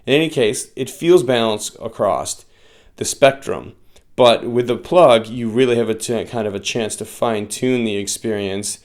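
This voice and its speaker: A man giving a casual product review in a halting way, often pausing mid-phrase. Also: medium pitch. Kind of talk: semi-scripted casual review.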